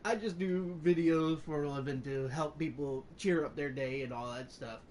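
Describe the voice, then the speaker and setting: A man's voice: fairly high pitch, normal speed, darkish tone, slight background noise. A man doing casual gameplay commentary as a streamer. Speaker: gaming streamer.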